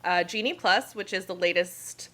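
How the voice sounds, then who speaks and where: A woman's voice: fairly low pitch, normal speed, pushed and bright. A woman in her thirties hosting a casual podcast, upbeat and leading the talk, her throat slightly scratchy. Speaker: podcast co-host. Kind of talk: casual chat.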